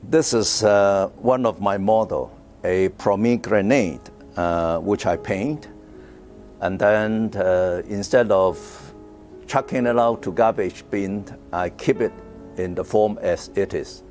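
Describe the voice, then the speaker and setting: A man's voice: on the low side, slow pace. An older man from Hong Kong with a Hong Kong English accent, speaking about his own art in an interview and hesitating with many fillers and repeated words. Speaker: artist. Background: soft guitar music.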